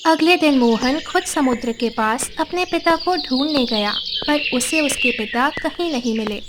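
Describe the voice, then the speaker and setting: A woman narrating a cartoon story in a steady storytelling manner. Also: high voice, measured pace, very noisy.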